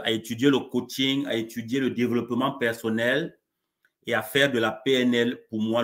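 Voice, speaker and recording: A man, a coach being interviewed live over a video call, speaking in a warm, measured way with frequent restarts. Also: mid-range voice. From Cameroon. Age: 40s. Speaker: coach and guest.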